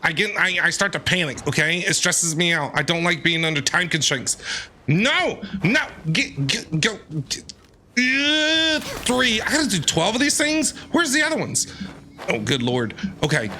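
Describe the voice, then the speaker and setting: A man giving excited game stream commentary, exclaiming and repeating his phrases in a panicky way. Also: sits high, a little slow, a little hiss or hum. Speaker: streamer playing and reacting to a video game.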